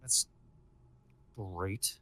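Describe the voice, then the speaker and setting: A man's voice: very slow, bright, forceful tone, no echo. An actor playing a cocky young male supervillain with a casual, theatrical manner in an audio drama. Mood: groggy, sheepish.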